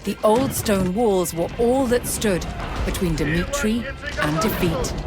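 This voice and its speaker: A woman reading scripted documentary narration in a measured, dramatic storytelling manner. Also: mid-range voice, animated. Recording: music under the voice. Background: music.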